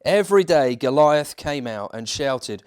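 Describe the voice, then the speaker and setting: Slow, animated male storyteller. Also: a little high, clean tone. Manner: theatrical, dramatic pauses and emphasis. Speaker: church minister reading a story. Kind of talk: children's bedtime storytelling.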